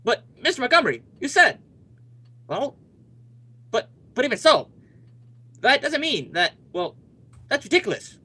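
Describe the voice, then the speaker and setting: A man's voice: very high voice, sing-song, unhurried, long pauses, bright, forceful tone, slightly rough, exaggerated voice. A male actor playing a brash, stubborn young husband in an old-time radio comedy, emphatic and blustering. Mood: flustered.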